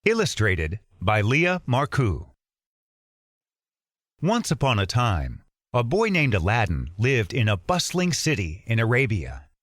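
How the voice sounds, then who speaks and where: A man narrating children's fairytales as a storybook reader, measured and expressive. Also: mid-range voice, dry recording, clean studio sound.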